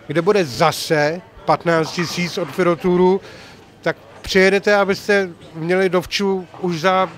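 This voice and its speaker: A man's voice: high voice, fairly even intonation, fairly bright tone. An older man hosting a bowling tournament, chatting and teasing as he reports and interviews players with a handheld mic in a large bowling alley. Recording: faint hall noise.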